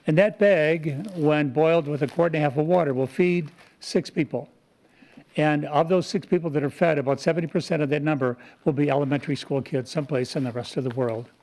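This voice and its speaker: Higher-pitched man presenting to a meeting. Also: fairly quick, a little mellow, poor audio. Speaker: community volunteer presenter, Rotary club member. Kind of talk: prepared public presentation at a town meeting. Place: town board meeting room.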